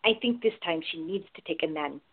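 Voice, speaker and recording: A woman's voice: low-pitched, lively intonation, fairly quick, husky, exaggerated voice. An actress playing a wry, protective woman with a blunt, teasing manner in radio play dialogue. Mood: concerned. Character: wry, protective woman, devoted to her girlfriend. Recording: narrow phone-like sound.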